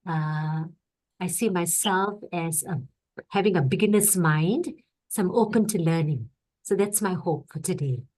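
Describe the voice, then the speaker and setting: A woman's voice: on the low side, unhurried, a little mellow. A woman from Singapore, a counselor, introducing herself to a webinar in a hesitant, thoughtful way over a video call.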